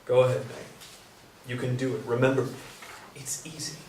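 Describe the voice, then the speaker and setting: A man's voice: mid-range voice, flat delivery, normal speed, darkish tone, close to an everyday voice. A man playing a blunt but considerate carpenter in a staged play reading. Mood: gentle, awkward. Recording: roomy, distant mic, faint noise.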